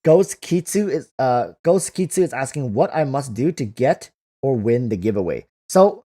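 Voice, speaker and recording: A man's voice: medium pitch, big pitch swings, normal speed, mellow. A man hosting a casual livestream, reading out and answering viewer comments, often repeating phrases as he thinks aloud. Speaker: livestream host.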